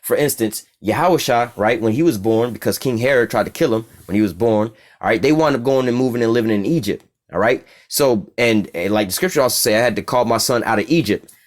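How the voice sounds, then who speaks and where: Profane streetwise American bible teacher. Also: male voice, medium pitch, a little fast. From United States. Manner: profane, streetwise, keeps asking "all right?". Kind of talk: informal bible study teaching.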